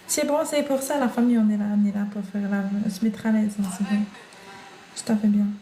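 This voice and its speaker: A woman's voice: medium pitch, brisk, mellow, low-quality recording. A woman with a foreign accent hosting a casual live shopping stream, chatting with viewers she calls family and reading their comments aloud. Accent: non-French accent. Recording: praise music playing behind.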